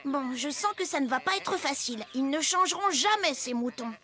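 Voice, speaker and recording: Very high-pitched eager young cartoon tractor. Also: female voice, lively intonation, a little fast, crowd noise. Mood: exasperated, flustered. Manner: earnest, exclamatory. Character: eager, hardworking young talking tractor, easily flustered. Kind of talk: children's cartoon dub.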